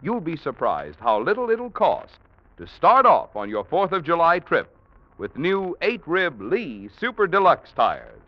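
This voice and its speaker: Hugely animated American radio announcer man. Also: a little high, measured pace, no room sound. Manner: emphatic, polished salesman delivery. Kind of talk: 1940s radio sponsor announcement, scripted. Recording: dull old radio recording.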